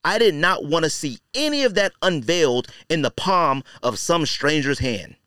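A man's voice: a little high, lively intonation, normal speed, pushed and bright, smooth, dry recording, studio quality. A man hosting a podcast, giving casual opinion commentary in colloquial, slangy language and repeating phrases for emphasis. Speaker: podcast host.

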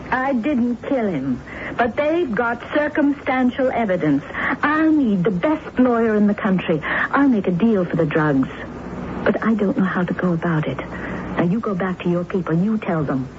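A woman's voice: medium pitch, lively intonation, moderate pace, natural voice. Woman playing a shrewd, theatrical actress, swooping delivery. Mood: bargaining, threatening. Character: shrewd, cool-headed actress ex-wife, manipulative and coaxing. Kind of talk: radio drama acting. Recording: muffled, noisy old radio recording.

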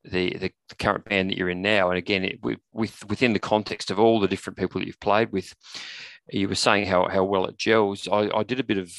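Man, lowish voice, casual interviewer, tentative phrasing, close dry sound. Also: flat delivery, brisk, creaky, a bit bright, no echo. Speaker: podcast interviewer.

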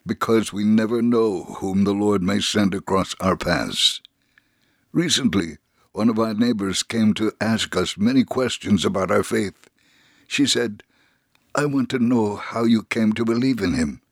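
A man with a fairly low voice gives a scripted dramatic reading of a personal testimony. The sound is close and dry, with faint music underneath. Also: no echo. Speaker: dramatic reader.

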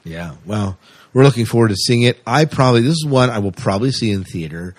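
Guy hosting a casual podcast, kinda raspy low voice, really dry close sound. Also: expressive, fast talker, no echo. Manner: chatty, enthusiastic, asks his co-host questions. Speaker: podcast co-host. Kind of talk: casual sci-fi news chat.

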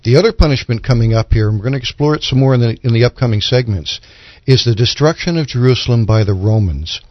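A man's voice: medium pitch, no room sound. A man speaking as a guest commentator in a radio Bible discussion, explaining didactically and quoting scripture. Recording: slightly dull, band-limited radio sound.

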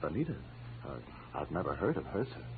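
An actor playing a formal, deferential, prim butler in a 1940s radio drama, on a muffled old recording. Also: male voice, extremely low pitch, sing-song, brisk, slight background noise, exaggerated voice. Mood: deferential, earnest. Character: proper, deferential male butler.

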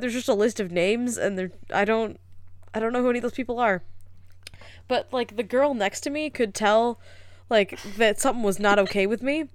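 Young woman, podcast co-host, wry and profane, crisp smooth voice, dry close sound. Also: medium pitch, no echo. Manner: wry, profane, quick storytelling. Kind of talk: casual chat. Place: apartment.

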